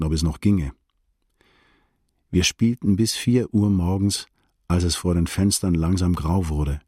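A deep, husky narrator of a literary radio play. He recounts a sad night soberly and precisely, with measured restraint. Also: a little mellow, no echo, clean studio sound.

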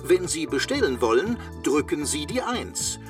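A man's voice: fairly high pitch, sing-song, a little slow, far from the mic, soft background music. A man playing a chirpy automated hotline announcer with a salesman's zeal in a children's radio play, using sing-song patter. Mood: cheerful, salesy. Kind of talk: parody phone menu in children's radio play.

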